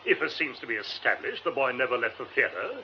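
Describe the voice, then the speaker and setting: A man's voice: mid-range voice, very animated, brisk, close to an everyday voice. An actor playing a haughty, exasperated theatre man in a radio drama, sarcastic and emphatic. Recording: muffled radio broadcast, faint noise.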